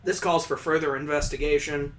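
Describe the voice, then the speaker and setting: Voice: mid-range voice, fairly even intonation, brisk. Wry narrator reading a game aloud. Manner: wry, incredulous, interjecting. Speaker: let's-play host reading a visual novel aloud. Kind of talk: dramatic read-aloud narration with casual sarcastic asides.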